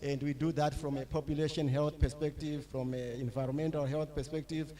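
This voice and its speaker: A middle-aged man from Zimbabwe giving a public lecture, talking in a halting way with many fillers and restarts. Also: on the high side, little pitch movement, warm tone. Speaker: lecturer, associate professor.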